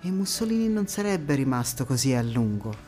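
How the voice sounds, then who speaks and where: A woman playing a proud, defiant anti-fascist partisan who recalls her youth in a theatrical monologue, with measured phrasing and deliberate pauses. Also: very deep voice, very animated, warm tone, faint music behind. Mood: resolute, proud. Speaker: stage actress in a one-woman monologue. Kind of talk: theatrical first-person storytelling monologue.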